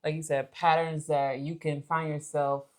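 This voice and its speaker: A woman's voice: low-pitched, level tone, normal speed, slight background noise. A woman co-hosting a relationship podcast, explaining things casually and conversationally with everyday examples.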